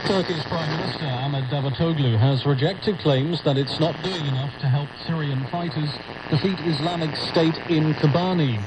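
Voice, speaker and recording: A man's voice: fairly high pitch, moderate pace. A man reading a scripted radio news bulletin as the newsreader. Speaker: news reader. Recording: very noisy shortwave radio broadcast.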